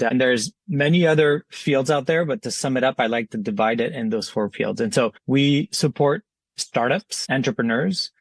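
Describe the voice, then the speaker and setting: Frenchman w/ barely-there accent, mid pitch, measured podcast-guest talk, dry sound. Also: no room sound. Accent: slight French. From France. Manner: measured, explanatory, with frequent fillers. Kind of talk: interview answers.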